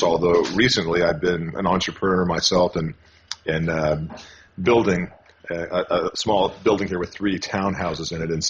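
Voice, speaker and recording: An older man from California with a very deep voice answers interview questions over a dull, lo-fi connection with a faint hiss behind him. He talks haltingly, restarting phrases and filling pauses as he goes. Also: fairly bright tone. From California, USA. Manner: halting, many restarts and fillers. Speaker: guest. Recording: dull lo-fi call audio with faint noise.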